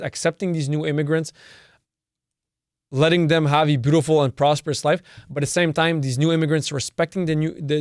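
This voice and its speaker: A man's voice: fairly high pitch, clear, resonant tone, dry recording, studio quality. Emphatic, swearing man. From Canada. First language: Serbian. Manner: emphatic, repetitive, swears freely. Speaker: podcast host. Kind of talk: solo opinion monologue.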